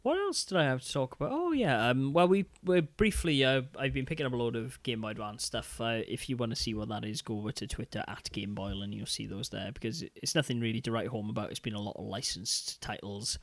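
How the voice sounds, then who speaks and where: A man's voice: medium pitch, expressive, a little fast, few pauses, no echo. A man, co-hosting a casual podcast about retro games and rambling with lots of fillers. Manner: rambling, many fillers and restarts. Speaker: podcast co-host.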